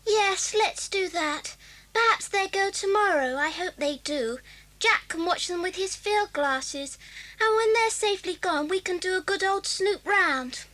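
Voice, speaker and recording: Eager, very high-voiced schoolgirl. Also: measured pace, bright, forceful tone, exaggerated voice. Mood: curious, keen. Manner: wide-eyed, questioning. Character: young schoolgirl, anxious, eager and affectionate. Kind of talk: 1980s children's audio drama acting.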